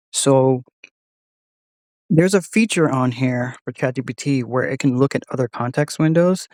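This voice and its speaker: A man's voice: medium pitch, a little mellow, no room sound. Casual man hosting a tech demo, dry sound. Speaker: host testing an AI voice assistant.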